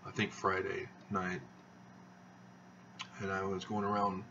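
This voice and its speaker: Man, monotone, very slow rambling monologue, lowish voice, muffled distant mic. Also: background noise. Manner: slow, halting, many fillers. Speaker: video channel host.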